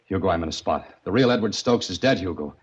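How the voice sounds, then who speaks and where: An actor playing a tough, wry, framed hero who speaks tersely in a 1940s radio mystery. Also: male voice, low voice, animated, fast. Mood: desperate pleading. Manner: terse, hard-boiled, urgent in dialogue. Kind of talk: 1940s radio mystery acting and first-person narration. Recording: dull vintage 1940s radio recording.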